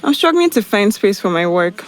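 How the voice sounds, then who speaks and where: A woman's voice: medium pitch, sing-song, normal speed, close to an everyday voice. A woman playing a friendly young professional in a modern audio drama. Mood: friendly, playful.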